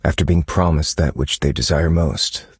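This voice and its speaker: An actor playing a brooding detective who narrates a dark mystery game story in a grave, measured, dramatic manner. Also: male voice, very deep voice, husky, dry recording, studio quality, heavily acted. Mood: ominous, grave.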